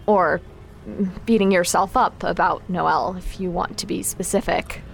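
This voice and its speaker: An actress playing a caring but exacting magic trainer in a naturalistic audio drama, frank and instructive in manner. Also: female voice, lowish voice, steady tone, normal speed, vocal fry, soft background music. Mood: frank, concerned. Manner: frank, instructive, gently concerned.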